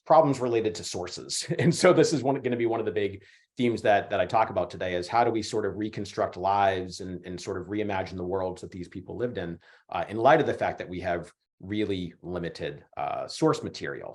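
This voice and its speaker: Male professor, forties, hoarse from a cold, low voice, hesitant lecturer on a laptop mic. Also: a little mellow. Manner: hesitant, many fillers and restarts. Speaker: history professor giving a talk. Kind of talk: casual online lecture. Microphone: computer mic on a video call.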